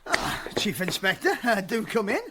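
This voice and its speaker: An actor playing a timid, polite village vicar in a radio drama, hesitant and fluttery. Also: male voice, high voice, very animated, moderate pace, noisy background. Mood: flustered politeness. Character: flustered, genteel male village vicar, guilty and timid.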